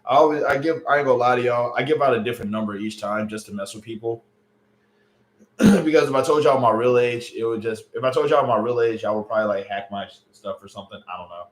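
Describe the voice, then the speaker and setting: A man's voice: medium pitch, fast. A man in his late thirties talks casually to his livestream chat. He uses lots of fillers and teasing asides, with a mid-range voice. The sound is roomy and echoey. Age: late 30s. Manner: loose, chatty, joking with chat, lots of fillers. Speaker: livestream host. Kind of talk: casual livestream chat reading viewer comments. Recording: roomy echo on a home stream mic.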